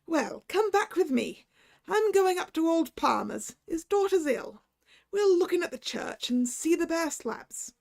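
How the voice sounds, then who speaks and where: Hearty raw-boned Irish doctor, teasing and brusque, read by a woman, gravelly edge. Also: very high-pitched, sing-song, unhurried, darkish tone, voice pushed up, heavily acted.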